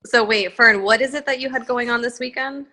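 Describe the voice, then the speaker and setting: A woman chatting casually on a video call, talkative and quick to laugh while planning meetings. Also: on the high side, pushed and bright, slight background noise.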